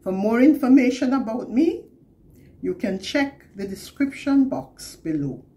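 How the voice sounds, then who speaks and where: An older woman with a Jamaican accent reads scripture to camera in a measured, deliberate way. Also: on the high side, lively intonation, mellow. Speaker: scripture reader. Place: plain indoor room, seated.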